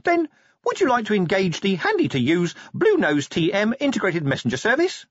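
A man voicing a small male imp living in a gadget, eager and pleading, with fast salesman-like chatter. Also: high voice, wildly swooping pitch, no echo, voice pushed up, full character voice. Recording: dry, slightly dull recording.

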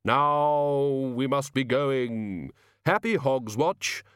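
Male narrator as solemn supernatural being, ponderous slow delivery, formal, earnest, storybook figure, dry recording. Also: mid-range voice, fairly bright tone, smooth, dry recording, pitched above the performer's own voice.

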